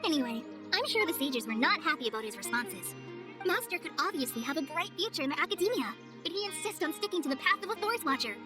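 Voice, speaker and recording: A woman playing a kindly young forest ranger trainee in a video game, gentle and earnest, with a hesitant, apologetic manner. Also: high-pitched, big pitch swings, fast. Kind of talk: video game cutscene voice acting. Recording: music behind the voice.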